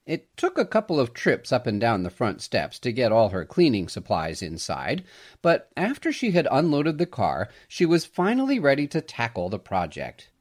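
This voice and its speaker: A man reading a scripted Christmas story aloud in an expressive storytelling manner. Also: mid-range voice, moderate pace. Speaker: storyteller, podcast host.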